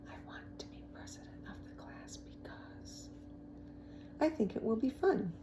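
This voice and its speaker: A woman's voice: a little high, sing-song, unhurried, in a whisper. A woman voicing an earnest, nervous schoolgirl in a storybook, speaking hesitantly and honestly.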